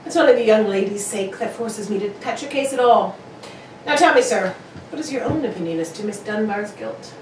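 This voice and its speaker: A woman playing a shrewd, probing gentleman detective in a formal radio-play style. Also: medium pitch, lively intonation, fairly quick, lightly acted. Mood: probing, stern. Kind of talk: amateur radio-play acting. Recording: roomy, distant, noisy live recording.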